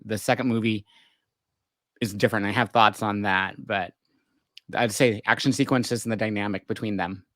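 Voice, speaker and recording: A man giving relaxed, hesitant opinions full of fillers as a podcast panelist. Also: lowish voice, moderate pace, long pauses, dry recording, studio quality. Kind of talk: casual podcast chat.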